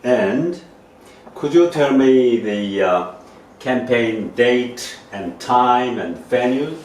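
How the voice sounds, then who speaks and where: A middle-aged man whose first language is Korean, interviewing guests in halting English. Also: medium pitch, lively intonation, darkish tone. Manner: halting English, frequent listening murmurs. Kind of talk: casual interview questions. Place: living room indoors. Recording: roomy echo, distant mic, faint noise.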